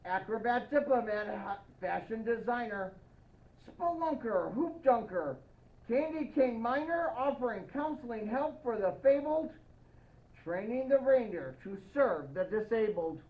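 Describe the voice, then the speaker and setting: Female storybook reader in a Santa persona, high voice, playful and expressive, slightly dull lo-fi sound. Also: measured pace. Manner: playful, expressive, addressing young listeners. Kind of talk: children's picture-book read-aloud with playful asides.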